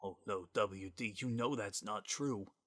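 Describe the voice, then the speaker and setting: A man performs an informal, slangy wisecracker in a dramatic audiobook story, his voice bright and forward. He is a grieving, desperate sort of guy, raw with feeling under the easy talk. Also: on the low side, a little slow, dry recording, clean studio sound. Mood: weary, subdued. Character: Sans, a wisecracking man pleading desperately, worn down by grief.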